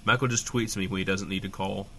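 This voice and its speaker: A man's voice: sits low, fairly even intonation, quick pace, bright, forceful tone, slight background noise. A man playing a bumbling, chipper radio host in a comedic audio drama, with glib, wordy banter. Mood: matter-of-fact.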